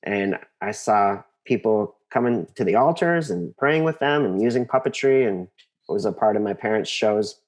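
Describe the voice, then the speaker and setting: Low-pitched American man, halting and thoughtful. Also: lively intonation, a little mellow. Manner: halting, thinks aloud, repeats phrases for emphasis. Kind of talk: casual interview conversation.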